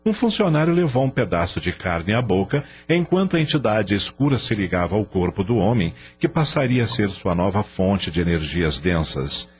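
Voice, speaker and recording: A man's voice: lowish voice, sing-song, normal speed, few pauses, breathy edge, highs cut off. A Brazilian man narrating a radio novel in a dramatic scripted style. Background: music.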